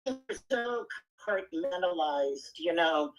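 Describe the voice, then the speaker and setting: Earnest woman guest, unhurried, halting delivery with repeated words. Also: lowish voice, lively intonation, soft background music, low-quality recording. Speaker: guest speaker on a live audio room. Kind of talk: casual chat.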